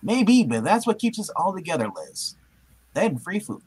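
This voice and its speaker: A man's voice: high-pitched, big pitch swings, moderate pace, darkish tone, a little hiss or hum. A man voicing a young, wisecracking, chummy everyman hero in a live comic-book reading. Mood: chummy joking. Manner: jokey, chummy.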